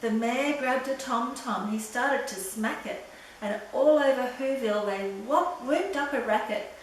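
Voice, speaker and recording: A woman's voice: on the high side, a little slow. An older woman storyteller reading a children's story aloud expressively. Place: echoey room, away from the mic. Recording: roomy echo, distant mic, faint noise.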